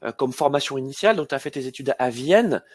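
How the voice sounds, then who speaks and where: A man's voice: medium pitch, expressive, quick pace, no echo. A man hosting an alumni webinar, speaking hesitantly with many fillers and repeated words. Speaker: webinar host. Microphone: video call.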